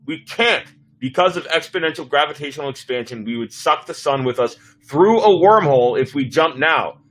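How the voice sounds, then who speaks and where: A man playing a grim, cautious starship commander in a sci-fi dramatic reading, serious and urgent, with a husky voice. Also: on the high side, expressive, moderate pace, a bit bright, low-quality recording.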